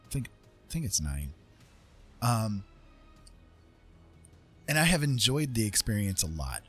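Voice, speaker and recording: A man's voice: fairly low pitch, very animated, measured pace, a bit bright, breathy edge. A middle-aged man hosting a casual livestream, talking in an earnest, musing and hesitant way. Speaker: livestream host, artist and writer. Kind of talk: casual reflective livestream chat. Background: music.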